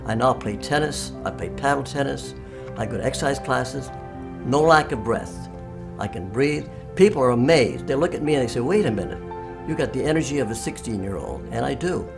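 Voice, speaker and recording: A man's voice: medium pitch, lively intonation, fairly quick, creaky. A man in his nineties giving an upbeat, emphatic interview testimonial as a patient. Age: 90s. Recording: music playing behind the voice.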